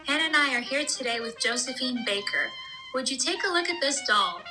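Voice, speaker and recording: A woman's voice: high voice, sing-song, fairly quick, music in the background. A woman playing a chatty, upbeat radio host in a scripted 1920s-style show, with chirpy period banter. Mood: enthusiastic.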